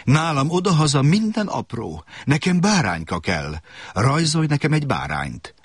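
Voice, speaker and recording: Small curious boy prince done by a man, high wondering voice, husky edge, dry studio, faint music. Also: very animated, no echo. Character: small gentle boy prince from a tiny planet.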